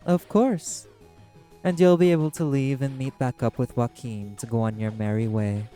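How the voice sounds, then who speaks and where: A man acts out an ancient, haughty demon casino boss in an audio roleplay. The voice is soft-edged and dark, drawling in measured, theatrical phrases over clearly audible background music. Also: medium pitch, steady tone, voice pushed up, close to an everyday voice. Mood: sly, bargaining. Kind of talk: scripted audio roleplay monologue.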